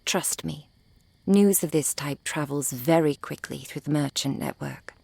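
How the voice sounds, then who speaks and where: A woman acting a composed, refined, cold-hearted merchant in an ASMR roleplay, with formal, precise, measured diction. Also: deep voice, creaky, darkish tone, slightly rough, no room sound, heavily acted. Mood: cool, matter-of-fact. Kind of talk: ASMR roleplay audio drama.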